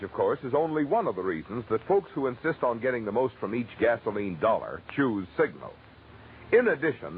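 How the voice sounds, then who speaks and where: A man working as a radio announcer, reading a vintage commercial in a polished, persuasive style. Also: mid-range voice. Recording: tinny, noisy vintage radio recording.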